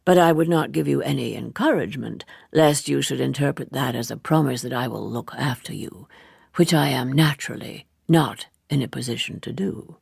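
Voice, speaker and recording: A woman voicing a worldly, detached man in a storybook, speaking slowly and formally with cool evasiveness in a husky, creaky voice. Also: a bass voice, a little mellow, dry recording, clean studio sound, voice pushed down, exaggerated voice.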